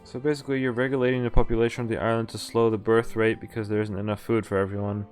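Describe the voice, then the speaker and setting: A man's voice: lowish voice, level tone, racing, darkish tone. Young man reading a game aloud, music behind. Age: young adult. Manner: casual, breaks off to joke and comment. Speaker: streamer reading a visual novel aloud. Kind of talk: live game playthrough, reading text with casual commentary.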